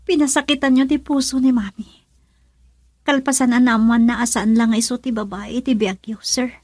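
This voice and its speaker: A woman's voice: on the high side, steady tone, normal speed, a little mellow, no echo, clean studio sound, natural voice. An actress playing an earnest young woman, a wounded daughter, in a radio drama. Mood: hurt, reserved. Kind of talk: Ilocano radio drama acting.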